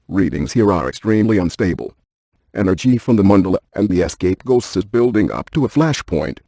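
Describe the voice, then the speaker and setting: A man playing an analytical ghost-hunting scientist in a video game, speaking in a precise, technical, deadpan way. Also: lowish voice, slightly rough, no room sound. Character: earnest, analytical male scientist ghost hunter, matter-of-fact.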